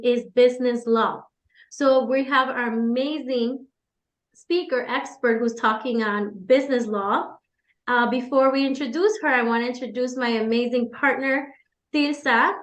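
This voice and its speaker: A woman's voice: sits high, normal speed, echoey room. A woman organizer hosting, enthusiastically promoting an event. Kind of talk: promotional introduction.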